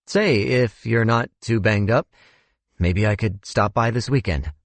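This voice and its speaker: A man's voice: on the low side, unhurried, dry recording, pitched above the performer's own voice. A man voicing a reserved young man in an audiobook, polite, understated and tentative. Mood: friendly. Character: reserved young American man, awkward amateur private detective.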